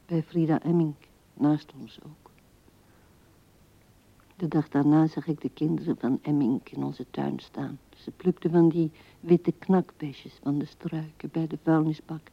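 A pensive old widow in a 1970s radio play. Her voice is low and unhurried, murmuring and often sinking into a whisper, on a dull vintage recording. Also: vocal fry, slightly rough, dry recording, full character voice. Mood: wistful musing. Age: older. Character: pensive seventy-year-old widow musing at her husband's grave. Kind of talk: 1970s radio drama monologue.

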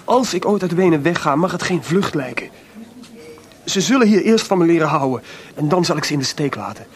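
An actor playing a bitter, boastful, maudlin drunken composer in a Dutch radio drama, with a theatrical, volatile, rambling delivery. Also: male voice, a little high, lively intonation, brisk, natural voice. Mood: bitter, defiant.

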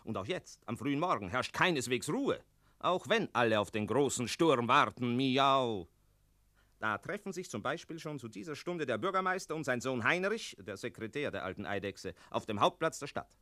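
A talking tomcat telling the tale in a radio drama. The voice is bright and crisp-edged in a dry studio sound, wry and chatty as it confides in the listener. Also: male voice, medium pitch, expressive, moderate pace, dry recording. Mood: wry, excited. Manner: chatty, addressing the listener directly. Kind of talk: radio play narration in character.

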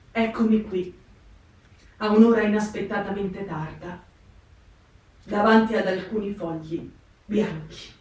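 A woman's voice: mid-range voice, natural voice. Wry, unsure female camp diarist, acted by a woman, stage reading, distant in a heavily reverberant room. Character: self-doubting, wry woman writing from a wartime camp. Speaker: stage actress. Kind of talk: dramatic literary reading. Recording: very echoey, distant, music behind. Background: guitar music.

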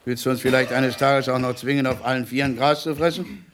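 A man's voice: medium pitch, fairly even intonation, brisk, fairly bright tone, slight background noise, close to an everyday voice. Preachy, indignant anarchist played by a man. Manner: preachy, formal. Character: indignant male anarchist lecturing a comrade on principle. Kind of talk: radio drama flashback speech.

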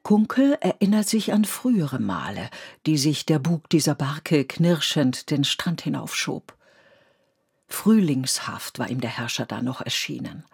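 An actress playing a sober, observant storyteller, narrating a poetic radio drama in measured literary language. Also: female voice, low-pitched, very animated, creaky, darkish tone, breathy edge, exaggerated voice. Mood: sober, reflective. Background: faint music with strings.

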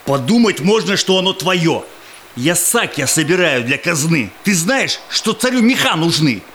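Cynical, menacing Cossack villain, verse-speaking audio drama role, lively intonation, slight background noise. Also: male voice, a little high, unhurried. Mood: menacing, demanding. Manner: threatening, scheming, speaks in rhymed verse. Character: greedy, menacing Cossack tax collector, cynical bully. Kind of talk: theatrical audio drama acting.

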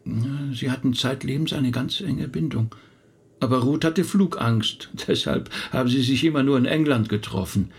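A man's voice: medium pitch, normal speed, gravelly, slightly rough. A male actor playing a middle-aged rabbi in a naturalistic radio drama, thoughtful, talkative and a little hesitant as he recounts family history. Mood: candid, reminiscing. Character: thoughtful male rabbi recounting his late relative's life.

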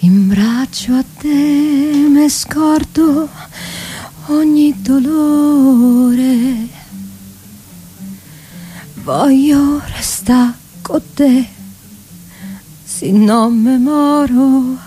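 A woman's voice: high voice, extremely slow, long pauses, warm tone. A woman with a Roman accent singing a traditional Roman folk song. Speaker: singer. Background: guitar accompaniment.

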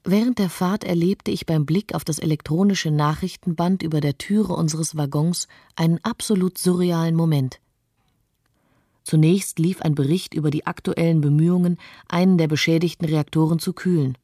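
Female narrator for radio documentary: actress as an observant wife, composed and articulate, notably low and soft-toned, dry studio. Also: fairly even intonation, moderate pace, dry recording. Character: observant, composed wife recalling her flight from disaster. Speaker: narrator of a personal account.

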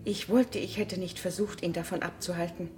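A woman's voice: on the low side, steady tone, fairly quick, a little mellow, soft background music, lightly acted. An actress playing a scornful, bitter wife and mother in a German radio drama, speaking in a cutting, accusing way. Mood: bitter, weary. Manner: accusing, cutting, emphatic repetition.

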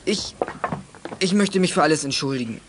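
A man acting a high-voiced, aggrieved young troublemaker. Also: lively intonation, normal speed, noisy background, natural voice. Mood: contrite. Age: young adult. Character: bitter, stubborn young male troublemaker, aggrieved ex-apprentice. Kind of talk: 1980s German children's radio drama acting.

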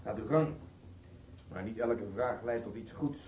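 Actor as a low, measured brotherhood leader. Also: male voice, a little mellow, distant mic, close to an everyday voice. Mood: grave, reserved. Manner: measured, terse, sententious. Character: calm, principled male leader of a wandering brotherhood. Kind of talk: radio play acting. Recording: roomy, off-mic, faint hiss.

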